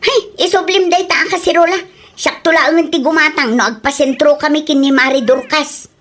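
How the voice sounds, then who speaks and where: Radio play wife, high-pitched and naggy, always on about quality, woman acting. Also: fairly even intonation, moderate pace, fairly bright tone. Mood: scolding. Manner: scolding, complaining. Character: fussy wife who demands quality.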